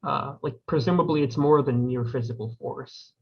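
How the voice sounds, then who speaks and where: A man's voice: mid-range voice, normal speed, vocal fry, husky, muffled recording. A man from the audience asking a question hesitantly, stammering and filling gaps, over a video call.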